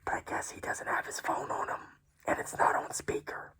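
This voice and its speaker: A woman whispering a personal story in a hushed, tearful, casual way, swearing freely. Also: normal speed.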